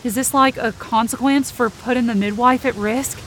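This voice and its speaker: A woman's voice: on the high side, fairly even intonation, normal speed, fairly bright tone, a little hiss or hum. Woman narrating a tense first-person story. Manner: tense, searching inner monologue. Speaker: narrator and lead performer.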